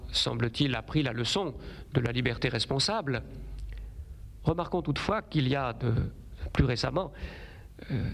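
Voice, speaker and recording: A man lectures in a deliberate, measured way, shaping his thought in short phrases. His voice sits at an ordinary middle pitch, and he hesitates briefly now and then before carrying on. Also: fairly bright tone. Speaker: lecturer on biblical theology.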